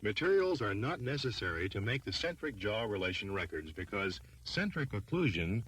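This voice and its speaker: Male narrator for an instructional film: lowish voice, formal and measured scripted read. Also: sing-song, a bit bright, slight background noise.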